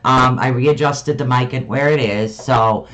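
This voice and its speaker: A soft-spoken man hosting a radio call-in show and giving a psychic reading in a reassuring way, with frequent ums. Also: mid-range voice. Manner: reassuring, fills pauses with um.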